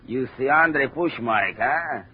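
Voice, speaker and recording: An actor playing a big, blunt immigrant steelworker who speaks in broken English, in a 1950s radio drama. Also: male voice, mid-range voice, lively intonation, slow pace, husky. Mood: gruff. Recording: tinny old radio broadcast.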